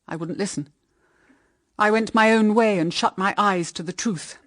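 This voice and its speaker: A woman's voice: mid-range voice, moderate pace, dry recording, lightly acted. Actress as an anguished, bitter widowed mother, dry sound. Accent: British. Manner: solemn, intense, melodramatic. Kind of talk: radio drama acting.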